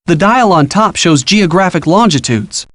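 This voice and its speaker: An actor playing a helpful, upbeat college-age boyfriend with an American accent, giving casual, encouraging detective hints in an adventure game. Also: male voice, high voice, lively intonation, moderate pace, a bit bright. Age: young adult. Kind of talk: scripted game hint dialogue.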